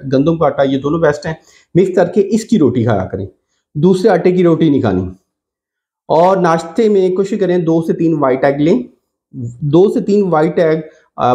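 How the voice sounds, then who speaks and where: A man's voice: fairly high pitch, mellow, lo-fi sound. A man with a Pakistani accent giving informal, instructional diet advice as a doctor, repeating key points as he goes. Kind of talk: informal instructional health talk to camera.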